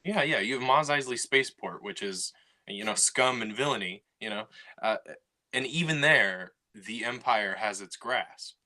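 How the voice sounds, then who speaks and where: Chatty podcast co-host, mid-pitched bright male voice, casual delivery with frequent restarts. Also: normal speed. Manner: talkative, lots of "you know" and restarts. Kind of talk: casual chat.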